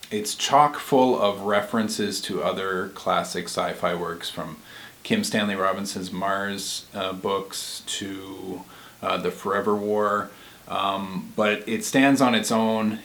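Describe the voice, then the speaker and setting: A man's voice: lowish voice, fairly bright tone. A man in his forties giving casual, enthusiastic book recommendations as co-host of a video blog. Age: 40s. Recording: echoey, roomy sound.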